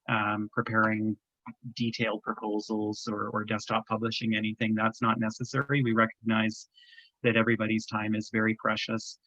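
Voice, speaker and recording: A Canadian man presenting on a government webinar, walking through an application form in a measured, explanatory way with frequent ums. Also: lowish voice, sharp tone, dry recording, lo-fi sound. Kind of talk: webinar walkthrough. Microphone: video call.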